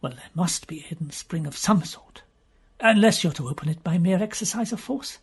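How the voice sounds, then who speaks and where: A man voicing an excitable, fanciful gentleman in an audiobook mystery, emphatic and fussy, prone to exclaiming. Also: sits high, brisk, breathy edge, heavily acted. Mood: insistent. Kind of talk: audiobook character voice.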